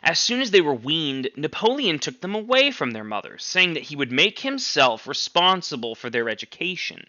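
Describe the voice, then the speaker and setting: A male teacher reading a novel aloud to his class with expressive, clear phrasing. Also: on the high side, normal speed, no echo, muffled recording.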